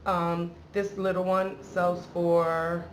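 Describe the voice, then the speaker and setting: A woman's voice: lowish voice, flat delivery, takes it slowly, slight background noise. A woman hosting a beauty vlog in a casual, chatty, slangy way, wandering into playful asides. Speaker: beauty vlogger and channel host.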